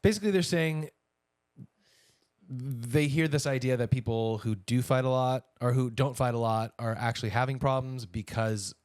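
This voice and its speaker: Man, mid-pitched voice, podcast co-host, casual chat, playful quoting, very dry recording. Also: moderate pace, a little mellow, no room sound. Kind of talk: casual conversational chat with a partner.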